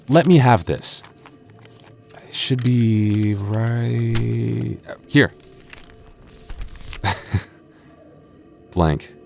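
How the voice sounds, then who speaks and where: A man's voice: on the low side, vocal fry, a little mellow. A man voicing a boyfriend in an ASMR roleplay, stammering through restarts and teasing playfully.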